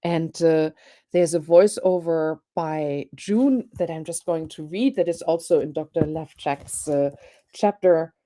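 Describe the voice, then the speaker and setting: A woman in her fifties from Germany with a German accent, speaking as a guest academic in a panel discussion, thoughtful and hesitant, with many pauses and restarts. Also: deep voice, dark tone.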